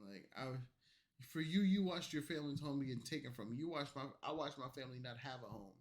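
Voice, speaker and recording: A man streaming late at night, tipsy from alcohol and an energy drink, delivering a loud, profane, rambling rant at his chat. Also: mid-range voice, fast talker. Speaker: streamer reacting to clips.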